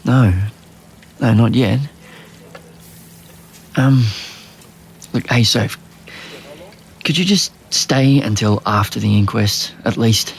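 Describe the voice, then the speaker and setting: An actor playing a weary restaurant boss in an audio drama, sardonic, self-deprecating and hesitant. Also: male voice, mid-range voice, slow, creaky, long pauses, a little hiss or hum, poor audio. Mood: uneasy, pleading.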